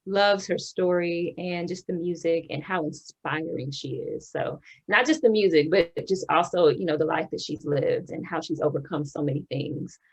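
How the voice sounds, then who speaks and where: A woman's voice: low-pitched, moderate pace, hardly any pauses, breathy edge, muffled recording. A young woman singer from the Houston area in Texas, chatting in an interview, talkative, laughing often, with many ums. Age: young adult. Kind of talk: casual interview chat.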